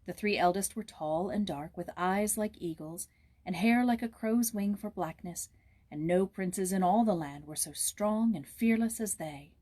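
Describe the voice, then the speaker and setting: A woman narrating a children's story aloud in a measured, expressive storytelling manner. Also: mid-range voice. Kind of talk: storybook read-aloud with informal introduction.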